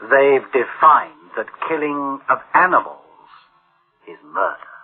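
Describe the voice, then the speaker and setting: A man's voice: mid-range voice, big pitch swings, slightly rough, full character voice. Uneasy, brooding male boss, muffled radio. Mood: grave, alarmed. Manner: brooding, grave, halting. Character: uneasy, conscience-stricken male boss dreading his machines. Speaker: actor in an audio drama. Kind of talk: dramatic radio acting. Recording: band-limited old radio drama sound.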